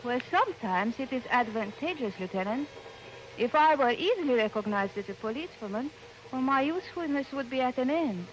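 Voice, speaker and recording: A charming young policewoman from Switzerland in a mid-century radio drama. Her voice is fairly high and very expressive, with formal, poised politeness. The vintage recording is slightly noisy. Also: fairly quick. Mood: polite, composed. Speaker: visiting policewoman. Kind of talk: 1940s-50s radio drama acting.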